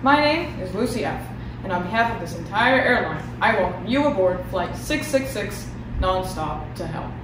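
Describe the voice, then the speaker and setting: A woman's voice: mid-range voice, expressive, moderate pace, noisy background, natural voice. A woman performing a comic monologue as a sarcastic, devilish flight attendant with a mock-polite announcement delivery. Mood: sardonic. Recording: echoey room.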